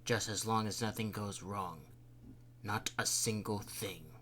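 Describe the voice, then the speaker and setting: A man voicing a stern, dismissive male mayor in a lowered voice. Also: steady tone, measured pace, bright, forceful tone, a little hiss or hum. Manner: curt, dismissive, lower-pitched.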